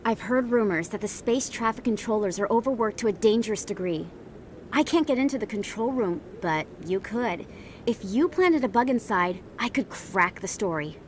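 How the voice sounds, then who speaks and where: A woman's voice: lively intonation, moderate pace, lightly acted. A woman playing a persuasive, assured investigative reporter in a science fiction video game, speaking articulately. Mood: coaxing, eager. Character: persuasive, driven female investigative reporter of space opera. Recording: faint rumbling background noise.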